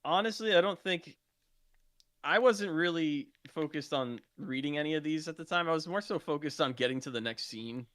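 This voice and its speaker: High, bright-voiced male podcast guest. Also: normal speed, dry recording, clean studio sound. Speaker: podcast guest, roleplay streamer. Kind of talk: casual chat.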